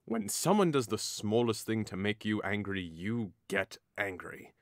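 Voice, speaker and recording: A man's voice: on the low side, expressive, measured pace, no room sound, studio quality. A man playing a hot-headed, mocking young nobleman in an audio drama, with a theatrical, taunting delivery. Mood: teasing, mocking.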